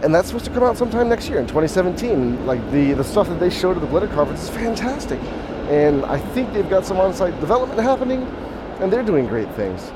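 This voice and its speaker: A hoarse man hosting a podcast, talking casually while walking outdoors, rambling with restarts and small chuckles. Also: sits high, big pitch swings, fast. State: hoarse, voice mostly shot. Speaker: podcast host. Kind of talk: casual walk-and-talk monologue. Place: outdoors on a city street. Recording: faint outdoor street noise. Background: street and traffic noise.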